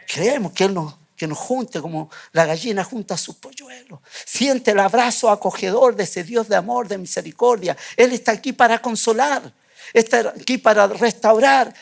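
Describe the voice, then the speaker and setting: A man preaching a fervent sermon as a church pastor, repeating phrases with emphatic pauses. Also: very high-pitched, vocal fry, fairly bright tone. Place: church sanctuary.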